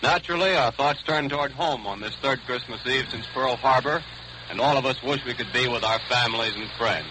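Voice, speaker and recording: A man's voice: mid-range voice, fairly quick. An American man, an army sergeant, delivering a formal scripted report in a 1940s radio broadcast. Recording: hissy vintage 1940s overseas radio broadcast.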